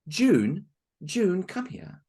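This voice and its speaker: Brief: kind old month spirit, played by a man, soft and calm, gravelly and husky. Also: high voice, extremely slow, voice pushed up, heavily acted. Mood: kindly.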